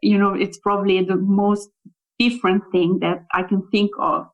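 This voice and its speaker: A woman's voice: mid-range voice, flat delivery, moderate pace, muffled recording. Italian woman guest speaking thoughtfully. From Italy. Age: young adult. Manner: thoughtful, with frequent fillers and restarts. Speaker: guest, localization project manager. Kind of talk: casual interview conversation.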